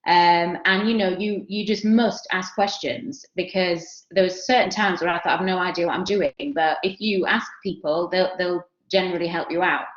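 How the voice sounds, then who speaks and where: A woman's voice: fairly low pitch, steady tone, normal speed, few pauses. A young woman with a Yorkshire accent, chatting as a guest speaker about her career, self-deprecating and full of fillers. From Yorkshire, England. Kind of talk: casual career talk on a video call. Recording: roomy, dull video-call audio.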